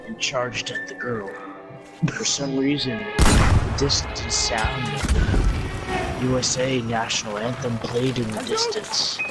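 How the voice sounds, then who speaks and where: A man improvising a comic story among friends in a mock-serious, dramatic, drawn-out way. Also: medium pitch, sing-song. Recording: loud music behind. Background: loud music.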